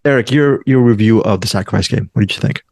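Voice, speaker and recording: A man's voice: fairly low pitch, quick pace, darkish tone, no room sound, clean studio sound. A man hosting a movie review show, chatting casually and checking in with his co-hosts. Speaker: host of a film review show.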